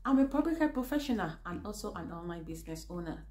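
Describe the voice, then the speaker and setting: A woman from Nigeria giving an earnest, emphatic personal business testimonial and pitch. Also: expressive, darkish tone, soft background music. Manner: earnest, emphatic, slows for stress. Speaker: business presenter.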